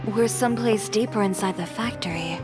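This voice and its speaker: A woman's voice: medium pitch, a little fast, close to an everyday voice. A woman playing a cool, confident, composed young woman in a video game. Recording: music behind the voice.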